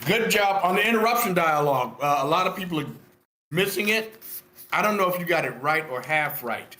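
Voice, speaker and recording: Older man, bright voice, roomy echo. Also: high-pitched, expressive, brisk. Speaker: script feedback participant. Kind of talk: casual spoken critique. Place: indoors on an online meeting call. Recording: roomy echoing online meeting audio.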